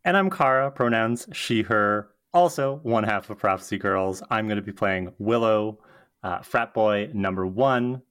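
A woman's voice: extremely low pitch, measured pace. A woman co-hosting a podcast, moving between scripted introduction and casual chat. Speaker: podcast co-host.

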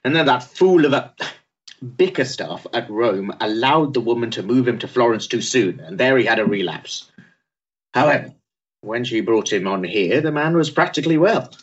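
An actor playing a bluff middle-aged Victorian physician with dry, wry humour, in a period stage drama. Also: male voice, medium pitch, expressive, moderate pace, husky, muffled recording. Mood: dry, frank.

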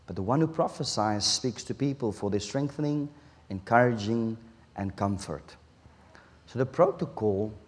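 A man from South Africa with an Afrikaans accent, whose first language is Afrikaans, preaching a sermon in an earnest, halting way with restarts. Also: lowish voice, darkish tone, long reverb tail.

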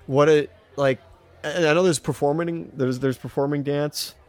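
A man co-hosting a podcast in casual banter, ranting in a halting way and repeating himself with mild exclamations. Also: fairly high pitch, fairly even intonation. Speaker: podcast co-host.

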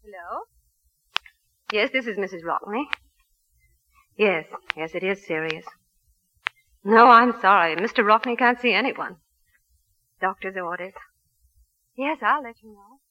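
A dull vintage radio recording of a woman with a medium-pitched voice. polite and composed, in 1940s radio style. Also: expressive, slow, pauses often. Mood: grave, polite.

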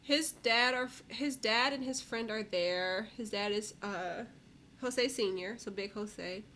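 A woman's voice: medium pitch, slow pace, sharp tone, a little hiss or hum. A woman co-hosting a casual recap show, informal and slangy, retelling what others said in mock quotes. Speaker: co-host of a reality TV recap show. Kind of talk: casual chatty recap commentary.

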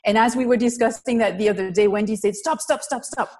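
A woman's voice: on the high side, fast talker, a little mellow. A woman from Belgium, a physical therapist giving an online presentation in an earnest, explanatory way over a video call. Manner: earnest, explanatory, lists things step by step. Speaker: physical therapist and riding instructor presenting a webinar.

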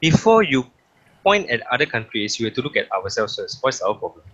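A man's voice: mid-range voice, very animated, brisk, fairly bright tone, lo-fi sound. A Singaporean man talking in a casual podcast chat, impassioned and opinionated, often repeating himself.

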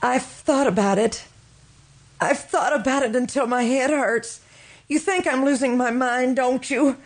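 A high, fervent voice from an actress playing a morbid young widow in an old-time radio melodrama. She is consumed by grief and pleads insistently, with a theatrical, obsessive intensity. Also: measured pace.

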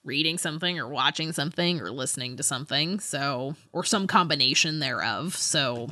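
A woman podcast co-host in casual book discussion, animated and giggly, often interrupting herself. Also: sits low, moderate pace, no room sound.

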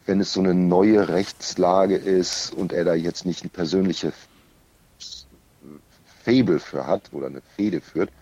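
A man speaking as an interview guest in casual conversation, measured and given to wry understatement. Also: deep voice, mellow, slightly rough, no room sound, muffled recording.

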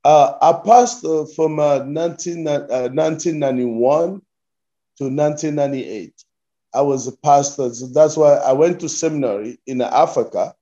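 A man in his fifties with a Congolese accent, a pastor answering interview questions haltingly and repeating his phrases as he goes. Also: fairly high pitch, dark tone, highs cut off. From Democratic Republic of Congo. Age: 50s. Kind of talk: interview answers, recounting life story.

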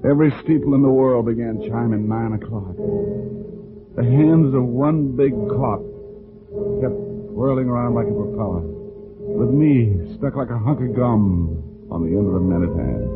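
An actor playing a wry, wisecracking hard-boiled detective, hesitant and dryly humorous, in 1940s radio style. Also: male voice, mid-range voice, normal speed, long pauses, close to an everyday voice. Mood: wry narrating. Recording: tinny 1940s radio, music behind.

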